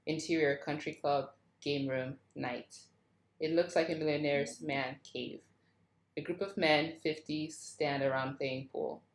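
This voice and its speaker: A woman's voice: low-pitched, fairly even intonation, a little slow. A woman narrator reading screenplay stage directions aloud. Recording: roomy, echoing sound.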